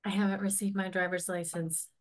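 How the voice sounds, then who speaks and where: A woman's voice: fairly low pitch, little pitch movement, brisk, natural voice. A woman in her forties playing an exasperated, persistent licence applicant in a staged comedy reading, with a plaintive manner. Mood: frustrated. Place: indoors, on a video call.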